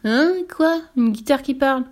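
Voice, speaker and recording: A woman's voice: fairly high pitch, a little fast, close to an everyday voice. A woman voicing a blustering, wily male circus director in a children's story, with brusque interjections. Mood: gruff surprise.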